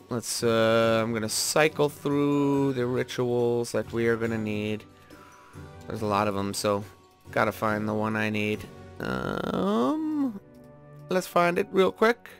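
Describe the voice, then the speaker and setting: A man's voice: medium pitch, a bit bright. A man doing casual gaming commentary, thinking aloud in a rambling way as he talks to his viewers. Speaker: gaming video host. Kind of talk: casual Minecraft let's-play commentary.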